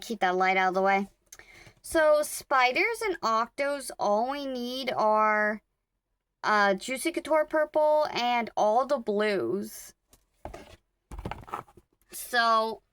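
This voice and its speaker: Chatty higher-voiced woman crafting late. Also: fairly bright tone, slight background noise. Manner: rambling, self-correcting, talks to viewers while working. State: slightly rough throat. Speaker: crochet vlogger. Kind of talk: casual chatty vlog.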